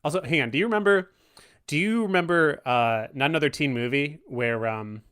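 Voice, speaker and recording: A man chatting casually on a podcast, rambling and halting, with a tongue-in-cheek way of talking. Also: fairly high pitch, fairly bright tone, clean tone. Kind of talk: casual movie chat.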